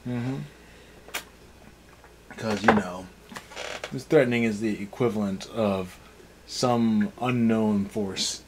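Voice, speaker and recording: A man's voice: on the low side, fairly even intonation, takes it slowly, pauses often, a little hiss or hum, poor audio. A man giving a casual, unscripted episode review as a co-host, with a sarcastic, snarky way of talking. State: tired.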